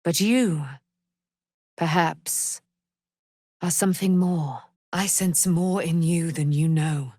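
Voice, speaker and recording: A woman's voice: fairly low pitch, takes it slowly, pauses often, a little mellow, no echo, clean studio sound. A woman playing a proud, righteous immortal warrior, daughter of a moon goddess, with grand, archaic, declamatory diction in a fantasy video game. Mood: solemn, stately.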